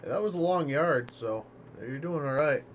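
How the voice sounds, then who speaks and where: A man streaming a game, chatting in a relaxed, rambling way and chuckling now and then, his voice congested from a stuffed-up nose. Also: a little high, normal speed. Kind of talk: casual commentary while playing. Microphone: streaming mic covered with a sock. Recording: loud game noise behind voice. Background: chainsaw and vehicle sounds from the game.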